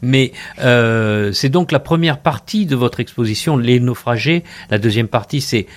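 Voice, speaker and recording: A man's voice: medium pitch, a little slow. A man from the Mediterranean hosting a radio interview, asking questions with the occasional filler. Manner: warm, admiring questions with some fillers. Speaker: radio host.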